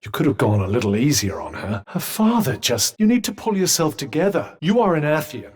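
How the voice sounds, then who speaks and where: A man's voice: a little high, sing-song, a little fast, darkish tone, breathy edge. An actor voicing a sentient magical cuff in a video game, a dry, witty and protective male companion with a British accent and a formal, well-spoken, advising manner. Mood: earnest. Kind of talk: scripted game dialogue. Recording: long reverberant tail on voice.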